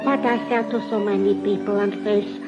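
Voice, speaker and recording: An actress playing a shopkeeper with clipped broken English in old radio drama style. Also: female voice, medium pitch, steady tone, moderate pace, close to an everyday voice. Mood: apologetic. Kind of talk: 1950s radio character acting. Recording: dull old radio recording, loud zither music.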